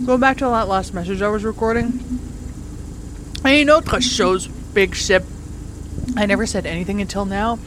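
A woman's voice: mid-range voice, close to an everyday voice. An actress playing an irritated, sarcastic woman who drives a rover alone and mutters and swears to herself, in naturalistic audio drama. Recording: clear vehicle noise, rover cabin ambience.

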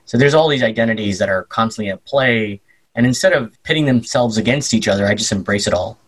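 A young man with an American Midwestern accent, a journalist chatting as an interview guest and telling stories in a lively, playful way. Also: lowish voice, fast talker, slightly rough, low-quality recording. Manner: animated, slips into playful voices and accents. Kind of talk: casual conversational storytelling.

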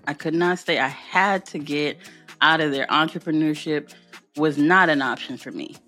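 Woman guest, lower pitch, bright tone, soft music under, candid and colloquial. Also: normal speed. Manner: candid, colloquial, laughing, preachy emphasis. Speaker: podcast guest, entrepreneur. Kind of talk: casual storytelling chat.

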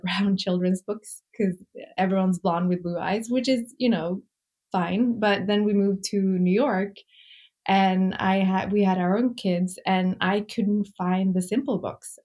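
Woman in her 40s from norway, chatty and laughing, middle pitch. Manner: warm, laughs often, quotes family in lively voices. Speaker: guest author. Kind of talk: casual conversation.

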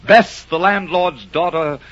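A man's voice: high voice, full character voice. A man performing as the poetic narrator of a tragic romantic ballad, reciting solemnly and theatrically in 1940s radio style. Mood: solemn, hushed. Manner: solemn, theatrical, drawn-out phrasing. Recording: muffled 1940s radio recording, loud music.

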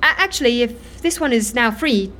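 A woman's voice: fairly high pitch, animated, normal speed, fairly bright tone. An actress playing a polite, timid, flustered young woman in an audio drama, hesitant and apologetic.

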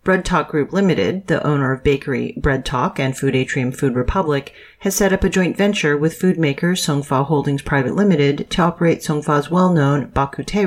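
A news reader narrating scripted business stories in a measured, read-aloud style. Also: mid-range voice.

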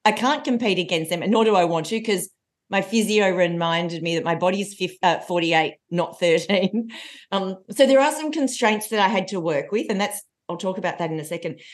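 Lively woman in her forties. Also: medium pitch. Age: 40s. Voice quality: loud voice. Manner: lively storyteller. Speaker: coach and trainer. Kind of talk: podcast interview.

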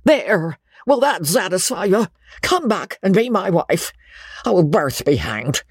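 A woman voicing a gruff, blustering old country baronet who pleads insistently in rustic, ungrammatical speech, in an audiobook. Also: mid-range voice, sing-song, slow pace, no echo, clean studio sound, voice pushed up, exaggerated voice. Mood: pleading, urgent.

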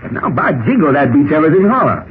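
An actor playing a folksy, talkative, excitable cowboy with an American Western drawl and colorful slang in a 1940s radio style. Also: male voice, on the high side, quick pace. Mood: puzzled, folksy. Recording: tinny, noisy old radio recording.

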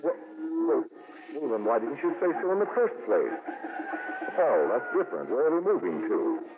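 An actor playing an urbane, bossy, easily exasperated homeowner in a 1950s radio drama. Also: male voice, medium pitch, wildly swooping pitch, moderate pace, noisy background. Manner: indignant, commanding, clipped questions. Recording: muffled 1950s radio broadcast.

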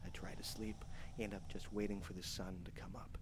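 An actor playing a starving, trapped male survivor in an audio horror drama, desperate and darkly joking, talking in a halting, stammering way between murmurs and strained yells. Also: deep voice, flat delivery, close to an everyday voice. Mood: wry, exhausted. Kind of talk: live audio horror drama, recorded diary monologue. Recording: clearly noisy live stage recording.

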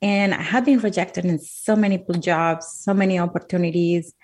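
A woman's voice: lowish voice, steady tone, normal speed, darkish tone. A woman from Colombia with a Colombian Spanish accent, speaking English as a second language, earnestly recounting her life as an interview guest. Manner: earnest, emphatic, repeats words while thinking. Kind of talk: heartfelt personal storytelling.

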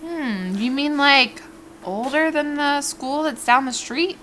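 An actress playing a chatty, nosy small-town diner waitress in an audio drama, with a folksy, familiar way of talking. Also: female voice, high-pitched, slow pace, bright, forceful tone, a little hiss or hum, full character voice. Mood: friendly, folksy.

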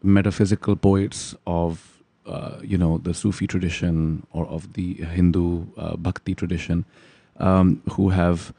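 A man, giving articulate, measured interview answers with many pauses. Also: low-pitched, flat delivery, vocal fry, dark tone, breathy edge, no echo. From Pakistan. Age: 30s. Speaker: guest.

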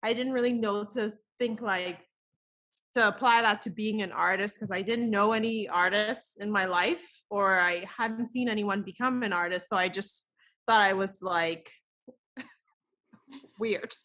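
A woman's voice: medium pitch, measured pace, pushed and bright. A woman, chatting as a podcast guest, laughing often and filling her talk with casual fillers. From the Bahamas. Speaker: guest artist.

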